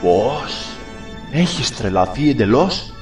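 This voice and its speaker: A man's voice: medium pitch, big pitch swings, roomy sound, recorded from a distance, soft background music, close to an everyday voice. A man voicing a stern, commanding old sea king who is a strict father. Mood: angry. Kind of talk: fan dub acting.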